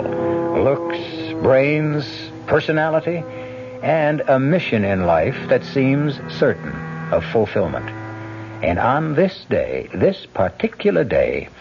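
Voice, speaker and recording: A man giving a measured, portentous scripted narration for a radio mystery. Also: medium pitch. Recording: lo-fi radio broadcast with music.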